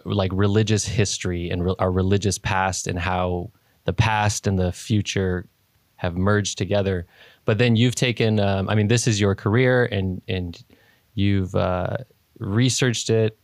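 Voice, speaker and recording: A man's voice: low-pitched, dry recording, studio quality. A man hosting an interview podcast, talking in a hesitant way with many ums and restarts. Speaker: podcast host. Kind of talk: conversational interview.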